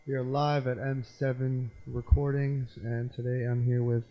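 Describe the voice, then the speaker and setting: Mellow, laid-back male interview host. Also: mid-range voice, level tone, moderate pace. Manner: easygoing, laid-back questioning. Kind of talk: casual interview.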